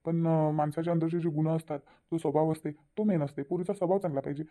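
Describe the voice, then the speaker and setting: A man voicing a middle-aged village father in a cartoon, quick and plain-spoken with a lilt. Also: on the high side, fairly even intonation, dark tone, lightly acted. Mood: calm. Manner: rapid, plain-spoken, repeats himself. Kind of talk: cartoon voice acting. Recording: tinny phone-like sound.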